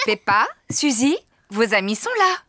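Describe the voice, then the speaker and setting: A woman voicing a cheerful, gently teasing mother pig in a French-dubbed preschool cartoon. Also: a little high, very noisy. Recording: loud music behind.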